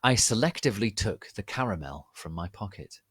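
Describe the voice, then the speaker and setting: A man's voice: lowish voice, expressive, moderate pace, a bit bright, husky, no echo. A man giving a theatrical, exaggerated dramatic reading of a fan fiction story. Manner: theatrical, exaggerated, reads typos literally. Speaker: reader.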